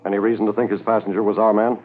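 An actor playing a brisk, commanding desk sergeant in a 1950s radio drama. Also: male voice, fairly low pitch, little pitch movement, lightly acted. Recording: muffled, faintly noisy 1950s radio transcription.

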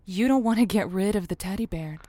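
A woman playing an earnest, assured agony aunt on a radio call-in show, measured and probing. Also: medium pitch, mellow, no echo, close to an everyday voice. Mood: earnest advising. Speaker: call-in advice show host. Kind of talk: semi-improvised audio drama, radio host.

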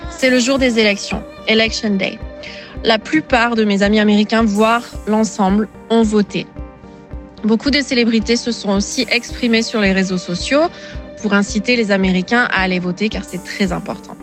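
A woman in her thirties from France, giving a clear, steady testimony as an interviewee. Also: mid-range voice, moderate pace, faint music behind. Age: 30s.

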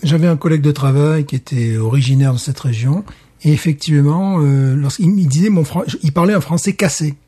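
A man from France talks in a relaxed, reflective way, pausing and restarting as he recalls stories. His voice is slightly higher than average, with a dark, mellow tone and a husky edge. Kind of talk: casual conversation.

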